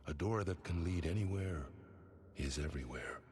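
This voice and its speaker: An actor playing an enigmatic, all-knowing mystic in a video game, speaking slowly and cryptically in riddles. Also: male voice, very deep voice, animated, a little mellow, slight background noise. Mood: cryptic, solemn. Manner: slow, cryptic, measured. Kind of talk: dramatic video game acting.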